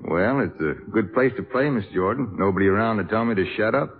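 An actor playing an earnest, shy young American jazz trumpeter with a halting delivery in a 1950s radio drama. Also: male voice, on the low side, expressive. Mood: bashful. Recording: muffled, hissy 1950s radio recording.

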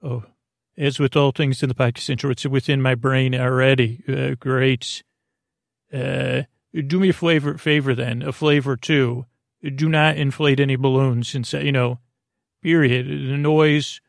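A man hosting a sleep podcast with an improvised bedtime monologue, meandering, halting and mildly self-deprecating, with a creaky voice. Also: mid-range voice, no room sound, studio quality. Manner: meandering, halting, often trailing off mid-sentence.

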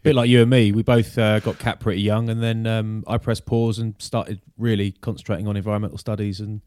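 Fast, deadpan man teasing his co-host. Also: fairly low pitch, clean tone, dry recording. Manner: dry teasing banter, deadpan jokes. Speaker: podcast co-host. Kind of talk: casual sports chat.

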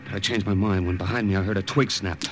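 Low-voiced, tense American agent, acted by a man. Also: fairly even intonation, quick pace, close to an everyday voice. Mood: grim, tense. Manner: dramatic, clipped narration. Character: tense American male wartime agent recounting his jungle mission. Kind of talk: 1950s radio drama acting. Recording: old radio recording with loud music.

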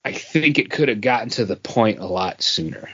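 A man co-hosting a podcast, talking casually and haltingly as he gives a review. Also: on the low side, animated, bright, forceful tone, husky, highs cut off. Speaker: podcast co-host reviewing comics. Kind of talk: casual talk, book review.

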